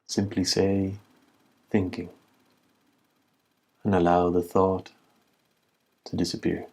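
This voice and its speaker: A man giving guided meditation instruction as a teacher, in measured short phrases with long pauses. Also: deep voice, level tone, a little mellow, husky.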